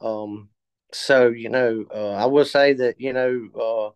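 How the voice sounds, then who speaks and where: A man of fifty telling his story in a casual interview, halting with many fillers and restarts. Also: medium pitch, steady tone, clear, resonant tone, no echo, lo-fi sound. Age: 50s. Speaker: interview guest.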